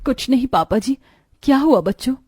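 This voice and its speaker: A woman voicing a gentle, patient and worried young mother in a cartoon story. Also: high-pitched, lively intonation, moderate pace. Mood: soft, worried.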